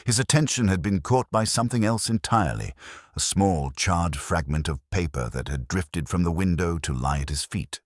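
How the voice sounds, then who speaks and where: A man narrating a scripted mystery story in a measured, literary way with dry irony. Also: deep voice, expressive, no echo. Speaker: audiobook narrator.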